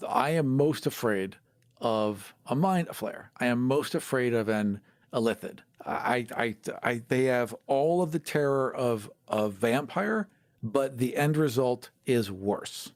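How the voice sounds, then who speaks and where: A man's voice: mid-range voice, lively intonation, slow, no room sound, studio quality. A man chatting casually as a podcast host, blunt and opinionated, repeating himself for emphasis.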